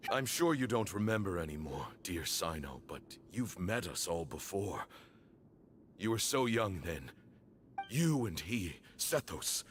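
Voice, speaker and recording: A man playing a stern, solemn leader of a secretive desert temple in a video game, speaking in measured, formal phrases. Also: low-pitched, big pitch swings, a little hiss or hum. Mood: cold, resolute. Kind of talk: scripted video game drama.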